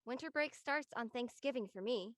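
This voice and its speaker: A woman's voice: fairly high pitch, moderate pace, dry recording, lightly acted. A woman playing a level-headed young woman leaving for college in casual scripted dialogue. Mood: sincere, hopeful.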